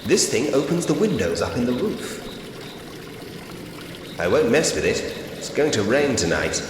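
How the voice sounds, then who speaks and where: A man's voice: mid-range voice, big pitch swings, a little slow, background noise. An actor playing a curious, polite man in a ghost-story adventure game, musing wryly to himself in short scripted remarks. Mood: matter-of-fact. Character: curious, polite man investigating a haunted Victorian house. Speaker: video game protagonist narrating his actions. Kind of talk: scripted point-and-click adventure game voice acting. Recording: long echoing reverb with music behind. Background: music.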